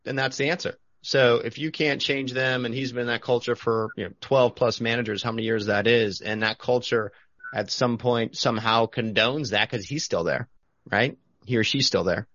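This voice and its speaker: A man's voice: medium pitch, steady tone, normal speed, a bit bright, dry recording. A man hosting a podcast who talks directly and puts coaching questions to his guest. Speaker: podcast host. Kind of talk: casual chat. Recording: dry, dull lo-fi audio.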